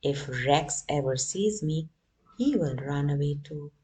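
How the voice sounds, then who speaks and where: A woman's voice: deep voice, lively intonation, a little slow, mellow, pitched below the performer's own voice. A woman voicing Alfred, a small and insecure male dog, in a read-aloud storybook. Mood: worried.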